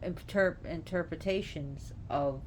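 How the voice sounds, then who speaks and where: Slow-talking woman, halting, noisy recording. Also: low-pitched. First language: not Gaelic. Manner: halting, searching for words. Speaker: presenter explaining names of a Celtic deity. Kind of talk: informal unscripted lecture. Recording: clear background noise.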